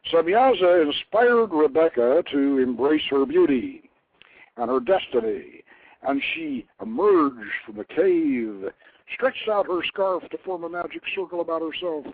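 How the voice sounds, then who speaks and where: A man's voice: on the high side, expressive, a little slow, no room sound. Older guy hosting a radio show, reading stuff out like a storyteller, sounds like he's on a phone, very dry, no room sound. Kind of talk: reading aloud and commenting informally. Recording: narrow phone-like line, dry.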